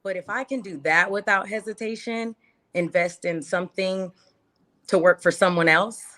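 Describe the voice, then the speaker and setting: A woman's voice: medium pitch, fairly even intonation, normal speed, pushed and bright. A woman of about thirty telling her personal story casually as an interview guest, emphatically, repeating phrases and asking rhetorical questions.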